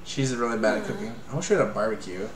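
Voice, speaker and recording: A young man from Canada, co-hosting a live game stream in casual chat, rambling and joking with quick asides. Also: lowish voice, lively intonation, roomy sound, very noisy. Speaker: let's play co-host. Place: small room at home.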